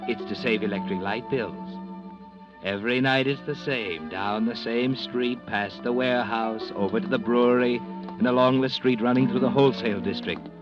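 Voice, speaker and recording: An American actor playing a sinister, mocking narrator who tells the tale knowingly, in mid-century radio mystery style. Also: male voice, mid-range voice, normal speed, natural voice. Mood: sly mocking. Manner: knowing, ironic second-person storytelling. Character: sinister, mocking male narrator addressing the characters. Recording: dull vintage radio recording. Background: music.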